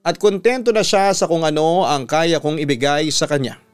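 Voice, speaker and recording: A Filipino man with a radio announcer's delivery, reading a scripted first-person story in a measured, earnest way. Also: fairly high pitch, smooth, no room sound.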